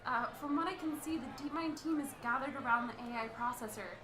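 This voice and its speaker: A woman's voice: high-pitched, a little fast, background noise, close to an everyday voice. A woman playing a scatterbrained young radio reporter in an amateur radio play, rambling and stammering as she goes. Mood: alarmed, confused. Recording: roomy, echoing sound.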